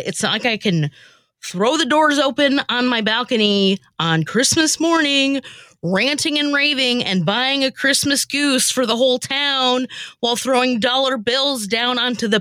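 A bright, high-pitched woman acting as a sleepy, exasperated heroine of a comedic radio play. Her delivery is dramatic and flustered, swinging widely in pitch, and the recording is dry and close. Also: no room sound, heavily acted. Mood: guilty, exasperated. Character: flustered, sleepy, guilt-ridden woman haunted on Christmas night.

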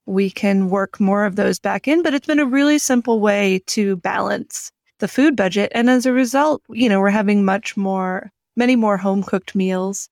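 A woman podcast co-host with a medium-pitched voice, talking casually and reflectively. The recording is dry, without any room sound. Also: no echo. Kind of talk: casual chat.

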